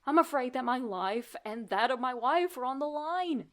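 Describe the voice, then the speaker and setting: A woman narrating a first-person horror story in an expressive, suspenseful way, with deliberate pauses. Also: high-pitched.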